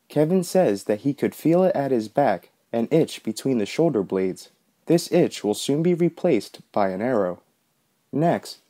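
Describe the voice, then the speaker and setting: A man's voice: mid-range voice, dark tone. A man presenting a podcast with scripted, explanatory voice-over narration. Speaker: podcast host.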